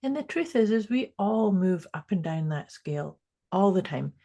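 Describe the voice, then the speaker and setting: Female podcast co-host, mellow tone, warm encouraging chat. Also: mid-range voice, animated, normal speed. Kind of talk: casual chat.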